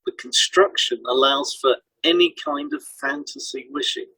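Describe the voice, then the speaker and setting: Guy doing scripted podcast explainer, really lively intonation, bit husky, dry close sound. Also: on the high side, normal speed, dry recording, poor audio. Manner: instructional, explanatory. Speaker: hypnotherapist and podcast co-host.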